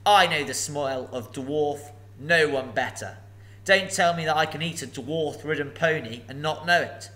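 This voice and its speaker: A man voicing a sly, menacing old male dragon, slow and mocking. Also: on the high side, pushed and bright. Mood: sneering.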